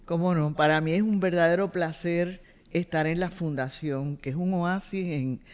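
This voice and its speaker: Older Puerto Rican woman, smooth voice. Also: fairly low pitch, normal speed, darkish tone. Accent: Puerto Rican Spanish. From Puerto Rico. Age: 70s. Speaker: interviewee, retired cultural director. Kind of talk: oral history interview. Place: boardroom.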